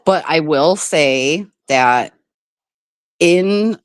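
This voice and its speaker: Woman from the midwest hosting a stream, chatty and swears a lot, pitch jumping all over, dry sound. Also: lively intonation, extremely slow, clean tone, no echo. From Midwest United States. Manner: chatty, swears freely, laughs often. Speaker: livestream host. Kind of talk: casual chat.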